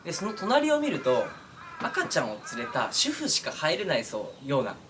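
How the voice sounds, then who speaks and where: A man hosting his own channel, talking casually to his followers with drawn-out hesitations and asides that seek agreement. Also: on the high side, huge pitch swings, noisy background. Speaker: online voice-drama creator and channel host. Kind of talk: casual monologue to camera, addressing followers.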